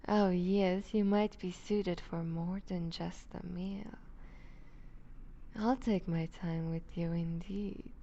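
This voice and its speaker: Woman doing a seductive vampire duchess for asmr, slow n purring, bossy and possessive, bit muffled recording. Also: medium pitch, vocal fry, warm tone. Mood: soothing, commanding. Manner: slow, deliberate, purring condescension with whispered phrases. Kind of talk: ASMR roleplay audio drama.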